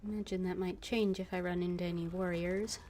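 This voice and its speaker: A woman's voice: on the low side, flat delivery, fairly quick, a little mellow. A woman casually commentating on her own gameplay as a streamer, musing and thinking aloud. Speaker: game streamer. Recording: clear background noise, keyboard typing.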